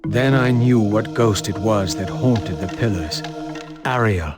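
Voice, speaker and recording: A man's voice: fairly low pitch, measured pace, lightly acted. An actor playing a brooding undead wraith, once a noble vampire, narrating his quest in grave, formal language for a game. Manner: formal, grave, literary phrasing. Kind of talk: scripted dramatic narration. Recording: music behind.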